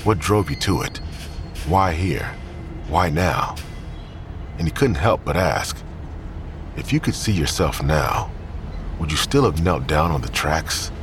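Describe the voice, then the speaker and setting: A man narrating scripted crime fiction in a grim, deliberate storytelling manner. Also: rumbling low, expressive, over music.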